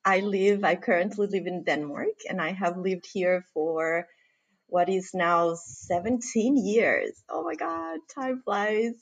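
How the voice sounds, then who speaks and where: A woman's voice: medium pitch, slow pace, vocal fry. Middle-aged woman from Costa Rica, podcast guest; eager, rambling, full of fillers. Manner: warm, enthusiastic, many fillers. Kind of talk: casual podcast interview.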